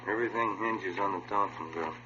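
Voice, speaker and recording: An easygoing detective sidekick with a lowish voice. He sounds folksy and plainspoken, in a muffled 1950s radio drama with clear background noise. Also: a little fast, bright, forceful tone. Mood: businesslike. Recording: muffled, noisy old radio recording.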